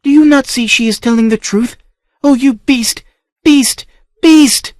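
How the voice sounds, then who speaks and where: A man's voice: extremely high pitch, expressive, moderate pace, a little mellow, no room sound, studio quality, pitched above the performer's own voice. A man reading aloud, voicing an outraged young noblewoman who cries out shrilly at her uncle.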